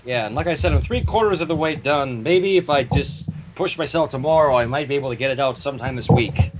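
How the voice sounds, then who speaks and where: A man's voice: medium pitch, quick pace, slight background noise, rough recording. A man chatting casually on a livestream over an online voice call. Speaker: animator and livestream guest.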